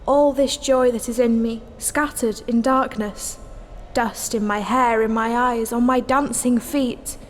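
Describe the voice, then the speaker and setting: An actress playing a passionate, dreamy young dancer with lyrical, impassioned delivery in a stage-like audio drama. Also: female voice, fairly high pitch, fairly even intonation, unhurried, a little hiss or hum, lightly acted. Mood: anguished, impassioned.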